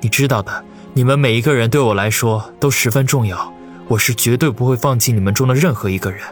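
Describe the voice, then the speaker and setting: A man's voice: mid-range voice, quick pace, soft background music, natural voice. A male narrator voicing an earnest young man who talks in a placating way.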